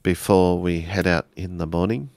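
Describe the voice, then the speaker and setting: A man narrating his character's actions in a tabletop role-playing game, halting as he searches for words. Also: low voice, fairly even intonation, a little mellow, no echo.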